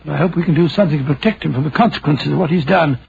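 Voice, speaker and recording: A man playing a loyal, puzzled British gentleman companion in a 1940s radio drama, with a fussy, earnest manner. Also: a little high, racing, creaky. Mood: anxious. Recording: dull, noisy 1940s radio recording.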